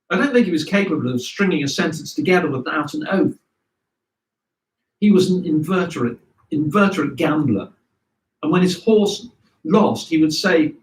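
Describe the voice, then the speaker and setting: A man reading a scripted memoir aloud in a measured storytelling way. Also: on the high side. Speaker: reader of memoirs. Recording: roomy echo, distant microphone.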